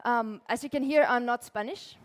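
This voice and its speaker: A woman's voice: fairly high pitch, moderate pace, in a large hall. A young woman from Tyrol with an Austrian accent, giving a casual conference talk full of "like" fillers. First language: German. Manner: casual, chatty, many "like" fillers, laughs lightly. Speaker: conference speaker, illustrator. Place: conference stage.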